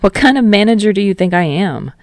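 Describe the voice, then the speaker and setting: Woman voicing a wry, exasperated male manager, creaky. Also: medium pitch, animated, fairly quick, no echo, voice pushed up, natural voice. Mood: wry, assured.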